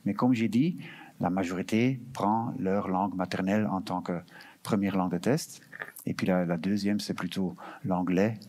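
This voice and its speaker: A man's voice: deep voice, steady tone, normal speed, a little mellow. A man representing an EU recruitment office, answering audience questions at an information session, hesitant with many fillers and restarts, sometimes slipping into English terms. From Belgium.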